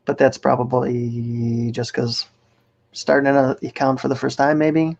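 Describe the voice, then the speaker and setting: A man with an American accent and a creaky, gravelly voice at an ordinary pitch. He co-hosts in an easygoing, agreeable chatty style. The stream audio is a little dull and lo-fi. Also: flat delivery, unhurried, darkish tone. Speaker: livestream co-host. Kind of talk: casual chat.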